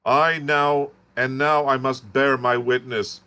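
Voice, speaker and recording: A man's voice: medium pitch, steady tone, takes it slowly, sharp tone, clear, resonant tone, pitched above the performer's own voice. A man doing a raving doom-crying curate. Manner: fervent wailing sermon-like raving. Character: ranting doom-preaching male curate, half-mad.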